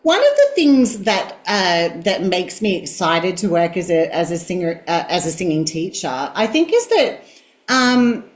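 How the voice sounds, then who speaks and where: A woman in her forties, a singing teacher, talking as an interview guest in a reflective, self-deprecating way with a boomy mezzo voice. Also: roomy sound. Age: 40s. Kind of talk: conversational interview.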